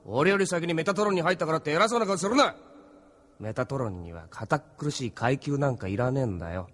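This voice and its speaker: Irreverent young rebel grumbler, husky, fairly high, swinging delivery, anime radio drama in a reverberant hall. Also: male voice, brisk. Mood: irritable, cocky. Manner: rough, slangy, animated. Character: brash, grumbling young male resistance fighter, cocky and irreverent. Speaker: voice actor. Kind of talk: Japanese anime audio drama acting.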